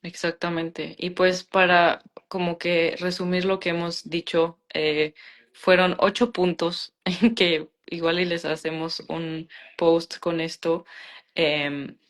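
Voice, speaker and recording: Woman, somewhat low voice, co-host, casual live-stream chat, conversational, fillers, tag questions, video call. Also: little pitch movement, slow pace. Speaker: co-host of a live talk show.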